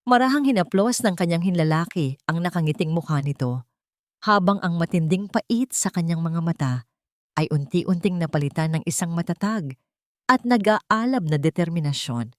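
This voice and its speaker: A woman with a Filipino accent reads a scripted story in a dramatic narrating style. Her voice sits on the low side. The recording is dry and close, with very little room sound. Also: expressive, moderate pace, dry recording.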